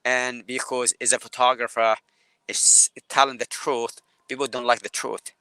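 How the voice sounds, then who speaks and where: A man from Yemen with a Yemeni Arabic accent, speaking as a guest photographer on a live video call, halting and repeating words as he talks. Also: mid-range voice, flat delivery, pushed and bright, clean tone, no echo, low-quality recording.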